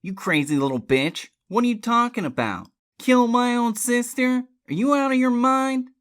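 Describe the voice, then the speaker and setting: Audiobook role: mocking male rogue, middle-aged, female narrator performing, crude, defensive, sarcastic, swinging pitch. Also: very animated, unhurried, a bit bright, exaggerated voice. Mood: indignant.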